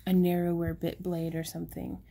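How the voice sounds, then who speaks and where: A chatty woman, showing handmade stones in a casual shop update, talking softly and excitedly over the camera. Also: lowish voice, brisk, gravelly, slight background noise. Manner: chatty and excitable, drops into soft whispers over pretty stones. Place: indoors at a craft table.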